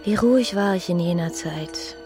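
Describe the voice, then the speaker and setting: A woman's voice: lowish voice, moderate pace, faint music behind, natural voice. An actress playing a passionate, bitter young married woman who broods in a literary radio drama. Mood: melancholy. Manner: brooding inner monologue with emotional outbursts.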